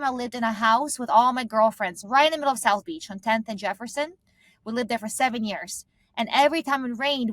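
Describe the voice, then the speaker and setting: A woman's voice: fairly high pitch, fairly bright tone, no echo. A woman from Eastern Europe and Israel, a founder guest in an interview, telling a personal story conversationally. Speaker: guest, startup founder and CEO.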